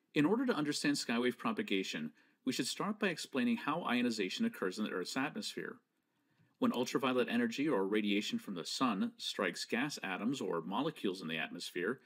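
Man, medium pitch, educational presenter, scripted narration, measured, didactic, precise enunciation, varied intonation. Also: a bit bright.

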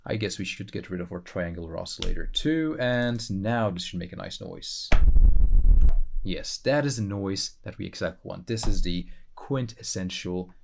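A man hosting a casual software tutorial, thinking aloud in a conversational way with playful asides. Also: low voice, lively intonation, moderate pace, gravelly, over loud music. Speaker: tutorial host.

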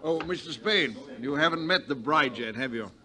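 An actor playing a fussy, courtly colonel who speaks politely and hesitantly in a 1940s radio drama. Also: male voice, on the high side, lively intonation, moderate pace, crowd noise, natural voice. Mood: fussy, flustered. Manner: hesitant, throat-clearing, polite.

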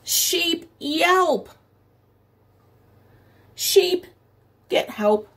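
A woman's voice: very high-pitched, expressive, very slow, creaky, a bit bright. A middle-aged woman reading a picture book aloud to young children as a teacher, expressively stretching story phrases and adding simple explanations. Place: small room at home, indoors by a window.

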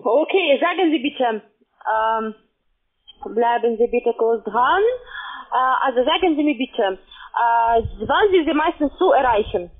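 A woman who is a non-native speaker giving a halting, pressing phone sales pitch full of questions over a narrow phone connection. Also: animated. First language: not German. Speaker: phone sales agent, account manager. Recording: narrow tinny phone line.

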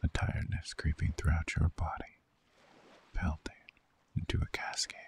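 A man voicing a soothing, seductive vampire lover in a slow, hypnotic ASMR sleep roleplay, half-whispering with a breathy, gravelly voice. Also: extremely low pitch, completely flat delivery, a little mellow. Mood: hypnotic, lulling. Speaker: ASMR voice actor.

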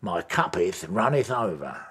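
A man's voice: deep voice, sing-song, a little slow, fairly bright tone, breathy edge, exaggerated voice. A man acting in a radio drama as a rude, sardonic older chief inspector who grumbles bluntly. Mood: sardonic, grumbling.

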